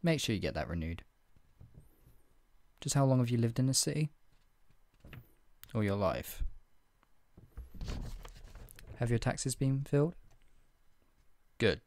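A man's voice: medium pitch, darkish tone. A man playing a stern, menacing redcoat soldier in a scripted roleplay interrogation, with a clipped, authoritative, condescendingly polite manner. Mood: brisk, officious. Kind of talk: ASMR roleplay, one-sided scripted dialogue.

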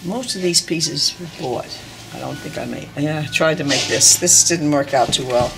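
A woman's voice: deep voice, moderate pace, vocal fry, rough recording. Chatty older woman with music behind her. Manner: chatty, meandering, trailing off and correcting herself. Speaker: dollhouse owner giving a tour. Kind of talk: casual unscripted home tour chat. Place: indoors at home, filming a dollhouse.

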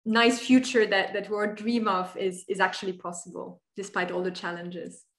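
A woman's voice: mid-range voice, level tone, a bit bright. Woman interviewer in an echoey room. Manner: halting, repeats words as she forms questions. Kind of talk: conversational interview questions. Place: bright room at home. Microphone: video call. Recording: echoey room on a video call.

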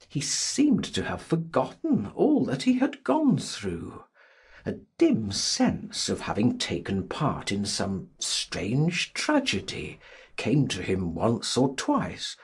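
A man narrates classic fiction with theatrical care. His voice is very husky and his pitch moves dramatically up and down. He reads slowly and in a measured way. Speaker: audiobook narrator. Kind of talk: dramatic literary audiobook narration.

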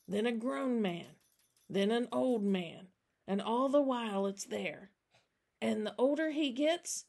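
Woman as a weary, hushed old man. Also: a little high. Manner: hushed, grave, halting. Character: weary, burdened, kindly old man, master of house.

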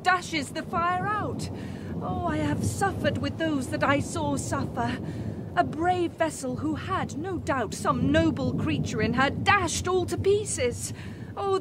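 A woman's voice: very high voice, very noisy. A woman playing an earnest, tender-hearted young daughter in a Shakespearean audio drama, heartfelt and plaintive.

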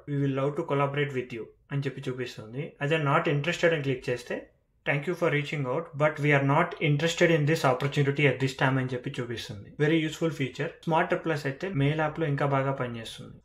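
A man with a Telugu accent presenting a tech walkthrough, explaining features step by step and mixing in English terms. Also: on the high side. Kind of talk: phone feature walkthrough narration.

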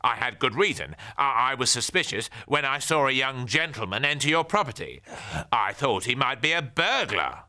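A man's voice: medium pitch, sing-song, bright, forceful tone, voice pushed up. A man doing a nasal, barking nosy neighbour. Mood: flustered. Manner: slow, barking and snarling. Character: nosy, bullying middle-aged male neighbour.

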